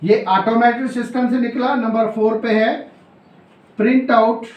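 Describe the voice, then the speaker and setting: A high-voiced man with a Pakistani accent teaches law in an echoey room. He goes slowly, repeating key phrases as if dictating notes, and poses questions that he then answers himself. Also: fairly even intonation. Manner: repetitive, explanatory, asks and answers own questions; mixes in English legal terms. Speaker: law lecturer. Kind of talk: classroom lecture, explaining and dictating notes. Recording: echoey, roomy sound.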